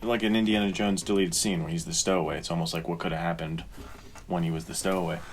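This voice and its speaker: Low-voiced man in casual podcast chat. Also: flat delivery, fast talker, slight background noise. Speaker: podcast co-host.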